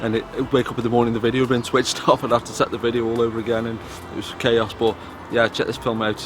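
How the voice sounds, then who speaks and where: A man's voice: mid-range voice, flat delivery, quick pace, background noise. A British man giving casual, chatty commentary to viewers as a vlogger, telling stories informally. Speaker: vlogger presenting old public information films.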